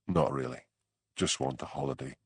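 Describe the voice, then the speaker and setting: A man playing a dry, stubborn village policeman with a Scottish Highland accent, in an audiobook. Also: normal speed, husky, no echo, exaggerated voice. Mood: weary, dry. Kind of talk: audiobook character dialogue.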